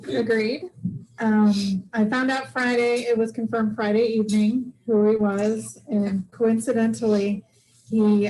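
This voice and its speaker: Brief: middle-aged woman, warm mellow tone, chatty and halting, sharing news at a meeting. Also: medium pitch, rough recording. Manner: chatty, halting, many ums. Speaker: club member sharing news. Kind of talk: casual personal story. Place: club meeting room. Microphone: handheld microphone.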